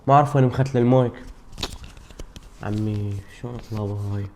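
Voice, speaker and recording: A man teases and urges in quick bursts of speech. Clear background noise and a passing vehicle run under his voice in this home recording. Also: fairly low pitch, fairly even intonation. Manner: teasing, playfully nags and urges. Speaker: husband on the family vlog.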